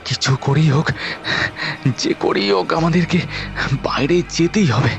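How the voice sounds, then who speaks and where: A man's voice: a little high, a little slow, vocal fry, pitched above the performer's own voice. A man playing a frightened but resolute young man in a horror audio story, urgent and insistent, repeating himself. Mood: desperate, resolute. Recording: voice over background music.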